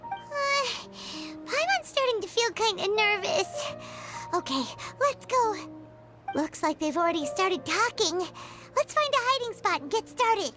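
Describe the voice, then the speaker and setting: A woman voicing a small, excitable, bossy fairy companion in a video game, with a squeaky childlike voice. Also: a little slow, pauses often, loud music behind the voice, full character voice. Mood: nervous. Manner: talks of herself in third person, eager. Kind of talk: video game character acting.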